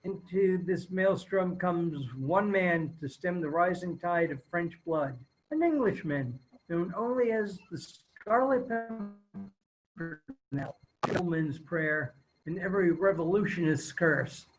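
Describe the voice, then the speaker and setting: A man playing an old-time radio announcer and host, reading the script in a halting, stumbling way. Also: sits high, expressive, faint music behind, low-quality recording. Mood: formal, narrating. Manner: halting, stumbling table-read delivery. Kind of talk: 1930s radio show read aloud. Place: virtual table read from home.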